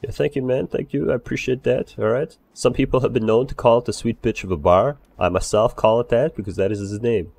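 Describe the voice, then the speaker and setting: A man's voice: mid-range voice, steady tone, brisk, vocal fry, hardly any pauses, a little mellow. A man improvising an eager, nervously fawning barkeeper in a game role-play, rambling and swearing as he talks. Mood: eager, chatty. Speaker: role-player playing a bar owner.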